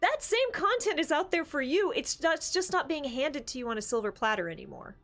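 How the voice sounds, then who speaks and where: A woman's voice: high-pitched, big pitch swings, a little fast, a bit bright, soft background music. A woman co-hosting a tabletop gaming discussion show, casual and opinionated, with an emphatic, sarcastic, theatrical way of talking.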